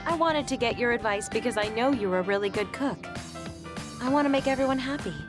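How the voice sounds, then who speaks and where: A woman playing a sweet, guileless young woman in a video game, speaking gently and politely. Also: a little high, fairly quick, close to an everyday voice. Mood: cheerful, eager. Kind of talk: English video game voice acting. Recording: faint music behind the voice.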